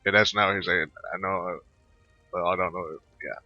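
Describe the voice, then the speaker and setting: A man giving casual, halting commentary over a video game playthrough, mumbling asides and bits of on-screen text. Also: sits low, fairly even intonation, no room sound, soft background music. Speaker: gameplay video host. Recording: slightly muffled, dull microphone sound. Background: voice-over on gameplay recording.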